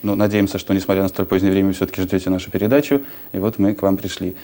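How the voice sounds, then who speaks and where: A man's voice: low voice, steady tone, rapid-fire, mellow. A man hosting a music television programme, addressing the viewers directly.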